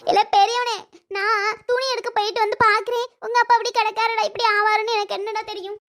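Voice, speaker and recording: A woman's voice: extremely high pitch, flat delivery, fast talker, fairly bright tone. A woman playing a fretful elderly village mother-in-law in a family drama, with a scolding, lamenting manner. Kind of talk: amateur family drama dialogue.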